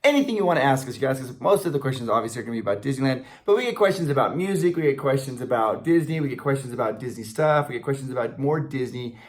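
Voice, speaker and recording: Animated American man chatting. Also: medium pitch, very fast, few pauses. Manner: animated, enthusiastic, jokey. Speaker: video channel co-host, convention MC. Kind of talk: casual chatty banter to camera. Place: small indoor room before a banner.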